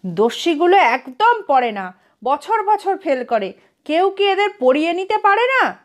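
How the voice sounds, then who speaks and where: A woman's voice: very high voice, big pitch swings, measured pace, pitched above the performer's own voice, exaggerated voice. A woman storyteller voicing an exasperated mother of four unruly boys in a pleading, scolding manner.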